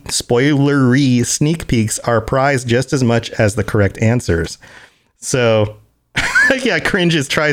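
An American man co-hosts a podcast in a relaxed, chatty way. His voice swings widely in pitch and jumps into high, excited exclamations. He reads event listings aloud between casual remarks. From United States. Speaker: podcast co-host.

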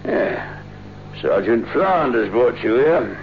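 Brisk, animated radio-drama official. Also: male voice, medium pitch. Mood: brisk, rousing. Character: brisk, soothing male official handling a disturbed patient. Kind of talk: 1960s radio drama acting. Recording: dull, faintly noisy vintage radio recording.

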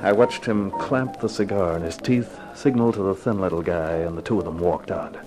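Low-voiced hardboiled private eye played by a man. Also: normal speed, close to an everyday voice. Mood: moody narration. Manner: terse, wry, slangy tough-guy talk. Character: hardboiled male private eye, cool, wisecracking, unafraid. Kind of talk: 1950s radio detective drama acting and narration. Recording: vintage 1950s radio recording with music.

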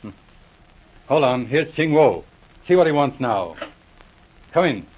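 An actor playing a brusque, authoritative police sergeant in 1940s radio drama style. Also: male voice, mid-range voice, sing-song, a little slow, darkish tone, husky. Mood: puzzled, brisk. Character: brisk, commanding police sergeant of the Mounties. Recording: muffled old radio recording.

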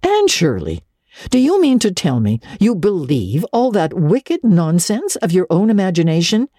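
A woman's voice: on the low side, huge pitch swings, a little slow, mellow, no echo, studio quality, voice pushed up, full character voice. A woman voicing a stern, no-nonsense older woman in a storybook, firm, clipped and unsympathetic. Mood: scornful.

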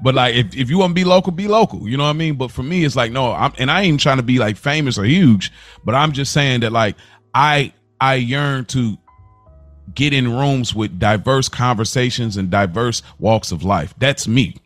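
A man's voice: medium pitch, normal speed, a bit bright, dry recording, soft background music. A man co-hosting a podcast, talking in a casual, earnest way and often repeating himself. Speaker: podcast co-host. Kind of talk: casual chat.

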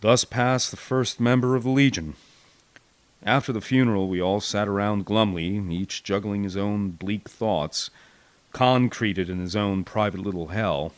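An American man with a fairly low voice narrates a wartime memoir. He reads from a script like a storyteller, expressive and dramatic. The recording is dry, with very little room sound. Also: unhurried, no room sound. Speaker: audiobook narrator. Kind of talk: scripted first-person war memoir narration.

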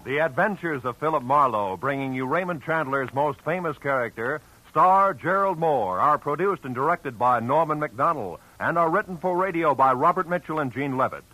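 A male radio announcer giving the show's credits in a crisp, formal manner. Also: on the high side, expressive, moderate pace, few pauses, bright, forceful tone, low-quality recording. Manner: crisp, formal sign-off delivery. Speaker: show announcer. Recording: old radio broadcast with music.

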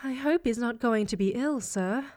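A woman's voice: a little high, normal speed, darkish tone, close to an everyday voice. A woman playing a fretful, deferential working-class Victorian housekeeper in an audio drama, anxious and rambling as she talks. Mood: worried.

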